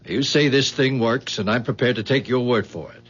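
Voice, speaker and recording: An actor playing a pushy, shrewd, domineering company boss in a 1970s radio drama, speaking in a brisk, bossy way. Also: male voice, mid-range voice, slightly rough, faint music behind, natural voice. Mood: brisk, commanding. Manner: brisk, bossy, talks in sports metaphors. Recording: dull vintage 1970s radio recording.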